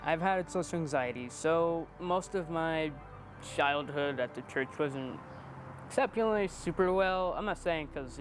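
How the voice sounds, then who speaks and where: A man of twenty-one chatting casually in a rambling, self-deprecating, playfully joking way. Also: fairly high pitch. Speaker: interviewee, amateur videographer. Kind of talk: casual chat.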